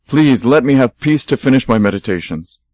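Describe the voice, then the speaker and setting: A serene little stone Buddha from a radio play, as a man puts him on, speaking in solemn, declamatory tones. His voice carries at a middle pitch and sounds dry and close. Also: expressive, fairly quick, darkish tone, no echo, close to an everyday voice. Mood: exasperated.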